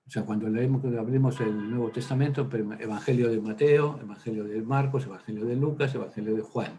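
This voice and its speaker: A man's voice: medium pitch, steady tone, fairly quick, dark tone, a little hiss or hum. A man, a priest, teaching a Bible workshop in a chatty, digressive way, with many hesitant fillers and side stories. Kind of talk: informal Bible lecture.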